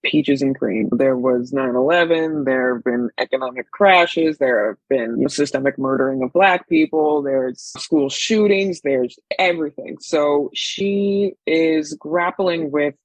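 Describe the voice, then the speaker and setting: A woman's voice: low-pitched, measured pace, hardly any pauses, no room sound, low-quality recording. A woman in her twenties with a Californian accent talks as a guest in a casual interview. She tells things in an informal, storytelling way, recorded dry with no room sound. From San Francisco Bay Area, United States. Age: 20s. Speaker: guest, stage actor and arts educator.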